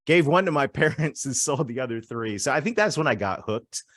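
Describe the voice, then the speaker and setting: A man's voice: mid-range voice, animated, brisk, fairly bright tone, no room sound, clean studio sound. A Canadian man from southwestern Ontario, a guest on a casual podcast interview, telling stories in an upbeat way and laughing often. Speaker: guest, growth strategist.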